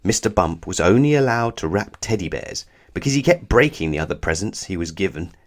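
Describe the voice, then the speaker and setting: Male children's book narrator: low register, lively swooping intonation, expressive scripted read-aloud with measured phrasing.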